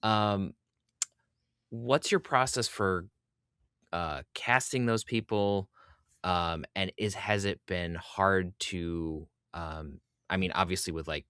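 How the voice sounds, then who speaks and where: Low-voiced man hosting a casual interview. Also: takes it slowly, fairly bright tone, smooth, no room sound, clean studio sound. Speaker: podcast host, interviewer. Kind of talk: casual chat.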